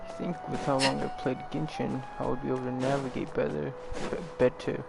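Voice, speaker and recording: A man's voice: mid-range voice, little pitch movement, a little fast, vocal fry, over loud music. A man giving casual play-along commentary as a gameplay streamer.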